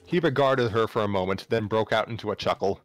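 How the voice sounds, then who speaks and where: A man's voice: fairly low pitch, fast talker. A man narrating a story aloud live, sometimes stumbling and rereading phrases. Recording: music playing behind the voice.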